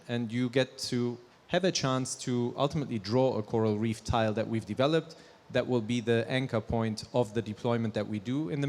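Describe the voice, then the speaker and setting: Man, 30s, conference presenter, handheld mic, casual fillers, long hall reverb. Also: medium pitch. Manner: conversational, with fillers and restarts. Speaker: company presenter. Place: large conference hall on stage.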